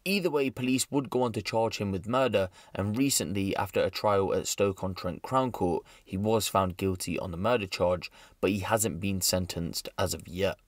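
Male true crime narrator, lowish pitch, scripted and serious delivery, dry close sound. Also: moderate pace, few pauses, no room sound.

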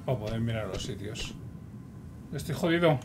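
A man with a Spain Spanish accent giving casual, excitable commentary while he plays a game. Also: mid-range voice, normal speed. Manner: chatty, excitable, talks to himself. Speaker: gameplay streamer. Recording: noisy recording.

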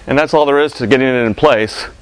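Middle-aged male product presenter, mid-pitched, informal and explanatory, pauses often while searching for wording. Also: noisy background. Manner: halting, explanatory, with frequent pauses. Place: warehouse with metal walls and racking.

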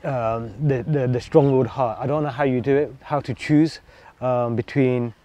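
Mellow, reflective male interviewer. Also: mid-range voice, steady tone, moderate pace. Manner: reflective, hesitant with fillers. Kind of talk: casual interview.

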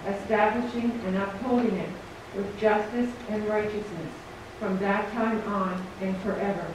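A middle-aged woman reads a scripture passage in a steady, deliberate voice. The small church gives her voice a heavy echo. The camera is far away, and background noise runs beneath her. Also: medium pitch. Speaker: Advent reader. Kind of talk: scripture reading. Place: small church sanctuary, standing at the front. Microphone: stand mic, far camera.

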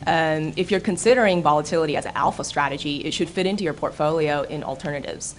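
A woman's voice: low-pitched, fast, slight background noise. A woman in her thirties answering on a conference panel at a table mic, with many hesitant fillers. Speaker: panelist, product specialist.

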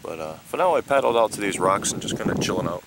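Thirties male outdoor vlogger, laid-back and rambling, low-ish pitch, close camera outdoors with wind noise. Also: fast talker. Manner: relaxed, rambling, frequent "uh" fillers. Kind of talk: casual outdoor vlog. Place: outdoors on a pond in a kayak. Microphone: camera close at arm's length.

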